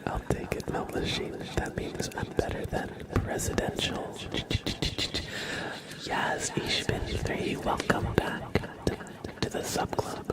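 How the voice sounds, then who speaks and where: Slow whispering male ASMR streamer. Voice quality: whispered, mostly unvoiced. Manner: gentle, unhurried, repeats names and phrases slowly. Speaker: ASMR livestream host. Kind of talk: ASMR, greeting viewers and tracing their names.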